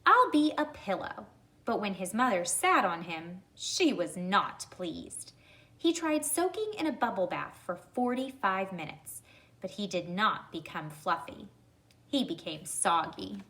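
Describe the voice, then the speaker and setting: A woman reading a children's story aloud, addressing young listeners deliberately and pausing to ask them questions. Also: medium pitch, lively intonation, a bit bright.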